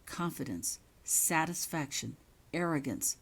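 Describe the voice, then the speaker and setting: A woman reading her own fiction as a scripted, dramatic story narration, in measured, suspenseful short phrases. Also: low-pitched, big pitch swings, gravelly.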